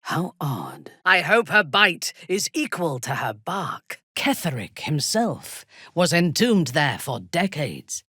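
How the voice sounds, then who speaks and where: Video game drow war leader: woman's deep register, slow and deliberate, imperious, menacing, expressive swings in tone. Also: slightly rough, no room sound, clean studio sound, heavily acted. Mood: haughty, measured. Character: ruthless, imperious female drow warband commander. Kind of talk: dramatic video game voice acting.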